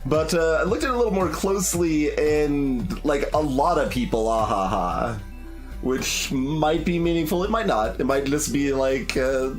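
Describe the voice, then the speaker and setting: Higher-pitched man, casual rambling game commentary. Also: expressive, moderate pace, faint music behind. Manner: hesitant, rambling, thinking aloud. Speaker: streamer hosting a playthrough.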